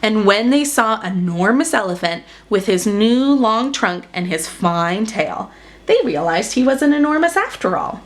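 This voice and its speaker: Woman, children's story narration, unhurried, expressive, highly varied pitch, dramatic emphasis. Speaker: storyteller reading a picture book aloud.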